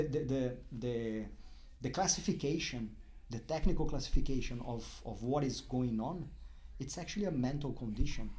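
A middle-aged man from Brazil with a Brazilian accent, a guest in a casual interview, speaking haltingly with many restarts and fillers. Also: mid-range voice, dark tone.

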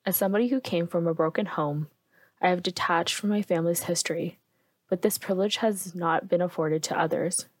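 A woman hosts a podcast interview. She reads a prepared introduction in a measured, formal way. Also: fairly low pitch, no echo. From Canada.

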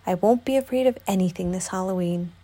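A woman's voice: fairly low pitch, quick pace, warm tone, natural voice. A woman voicing a very small, timid male piglet in a children's story, hesitant, earnest and anxious. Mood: anxious resolve.

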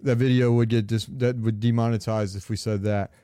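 A man's voice: lowish voice, level tone, fairly quick, warm tone, smooth, dry recording, studio quality. A man co-hosting a podcast, chatting casually about video games and rambling as he searches for words. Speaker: podcast co-host.

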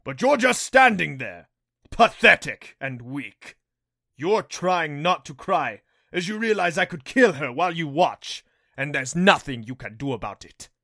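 A man voicing a cruel fanged beast in a fantasy audiobook, sneering and taunting with theatrical, drawn-out mock sorrow. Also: fairly high pitch, sing-song, gravelly, sharp tone, dry recording, studio quality, pitched above the performer's own voice, full character voice. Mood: mocking, menacing. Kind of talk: audiobook character voice for a fantasy story.

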